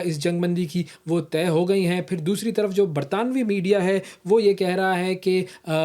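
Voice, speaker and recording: A man's voice: high-pitched, mellow. A man with a Pakistani accent hosting a news programme, explaining events to viewers in a conversational way. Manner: conversational, explanatory, with repeated filler phrases. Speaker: news show host. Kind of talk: news analysis presented to viewers.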